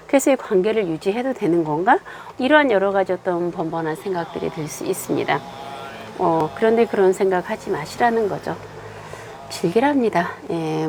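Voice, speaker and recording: A woman with a hoarse voice from a cold, unhurried and full of filler sounds, giving a monthly tarot fortune reading. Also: lowish voice, darkish tone, slight background noise. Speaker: tarot reader.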